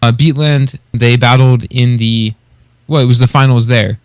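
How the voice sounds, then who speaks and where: Man, medium-pitched voice, podcast co-host, casual, agreeable, slightly hesitant, dry sound. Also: fairly even intonation, normal speed, clean tone, no room sound. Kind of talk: casual podcast discussion of beatboxing competitors.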